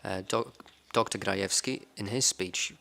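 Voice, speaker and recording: A man interpreting a conference panel into English, in an even, measured delivery with small fillers. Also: fairly low pitch, creaky. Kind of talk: simultaneous interpretation.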